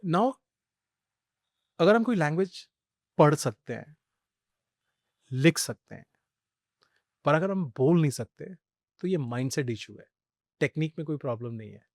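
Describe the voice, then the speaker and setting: Animated man mixing Hindi and English. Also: on the high side, a little slow, long pauses, dry recording, studio quality. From India. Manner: mixes Hindi with English phrases, animated storytelling. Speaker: podcast guest. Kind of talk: conversational interview storytelling.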